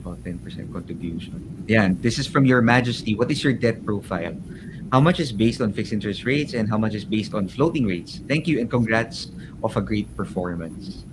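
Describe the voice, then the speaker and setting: A low-pitched Filipino-accented man moderates a call over clearly noisy audio. He talks informally, pausing and circling as he works toward his questions. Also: lively intonation. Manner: hesitant, chatty, thinking aloud.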